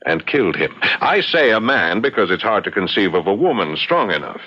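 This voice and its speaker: An actor playing a shrewd, inquisitive colonial gentleman sleuth with a wry, authoritative, questioning manner, in radio drama style. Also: fairly low pitch, big pitch swings, a little fast, husky. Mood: reasoning. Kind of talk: old radio drama acting. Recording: muffled vintage radio recording.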